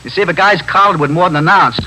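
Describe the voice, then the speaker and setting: An actor playing a nervy, talkative small-time drug pusher in a 1950s radio drama, rambling on in streetwise slang and justifying himself. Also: male voice, high-pitched, fast, sharp tone, breathy edge, exaggerated voice. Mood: rambling, rueful. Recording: noisy 1950s radio broadcast recording with hum.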